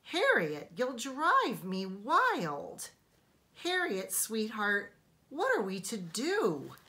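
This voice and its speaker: A woman voicing an exasperated but loving storybook mother, speaking in a drawn-out, restrained way. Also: on the high side, huge pitch swings, pushed and bright, heavily acted. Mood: strained patience. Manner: slow, drawn-out, restrained scolding with endearments.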